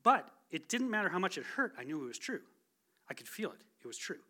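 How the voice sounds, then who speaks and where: A man's voice: sits high, big pitch swings, fast talker, pushed and bright. A man in his forties giving a casual, chatty testimony from the pulpit of a church, joking at his own expense. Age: 40s. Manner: casual, chatty, self-deprecating. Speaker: guest speaker. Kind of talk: conversational sermon testimony. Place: church sanctuary.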